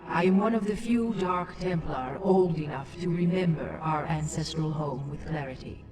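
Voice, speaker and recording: A woman's voice: low voice, moderate pace, close to an everyday voice. Woman voicing a distant, regal ancient alien matriarch. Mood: solemn welcome. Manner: stately, formal, solemn. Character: very old alien matriarch, female, regal and mournful. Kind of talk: video game voice acting. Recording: distant voice over game music.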